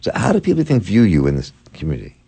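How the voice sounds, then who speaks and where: A man with a very low voice hosts a call-in radio program from the studio. He circles and restarts his sentences, repeating words as he gropes toward each question. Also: wildly swooping pitch, rapid-fire, creaky, mellow, breathy edge. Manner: rambling, repeats words while searching for a point. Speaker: radio talk show host.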